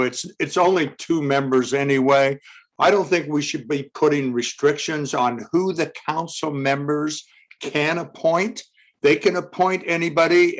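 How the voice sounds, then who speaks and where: A man who says he has a loud voice, speaking as a former city council member in a public comment at a community forum over a video call. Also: mid-range voice, rough recording.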